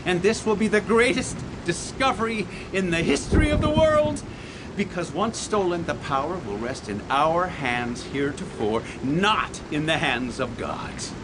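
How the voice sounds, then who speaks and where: A man's voice: a little high, sing-song, measured pace, noisy background. An actor playing a middle-aged, grandiose inventor father who is blunt and condescending, in a comic radio theatre style. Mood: grand, excited. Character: pompous, teasing, visionary inventor father.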